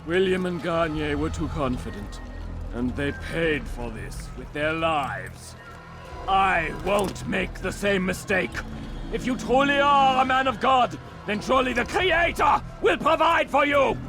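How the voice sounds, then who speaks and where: An actor playing a paranoid, tyrannical knight commander in a video game, with an accusing, theatrical delivery. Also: male voice, high-pitched, animated, measured pace, over music. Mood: furious, grandiose. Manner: accusing, theatrical, swinging from menace to shouting. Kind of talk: dramatic video game acting.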